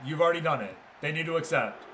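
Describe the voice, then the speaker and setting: A man's voice: on the high side, lively intonation, fast, sharp tone, a little hiss or hum, poor audio. A man hosting a livestream, giving casual game commentary and chatting informally with viewers. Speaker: livestream host.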